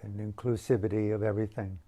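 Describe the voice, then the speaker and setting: A man leading a guided meditation as a teacher, speaking in a hushed, deliberate way with long pauses between short phrases. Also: lowish voice, little pitch movement.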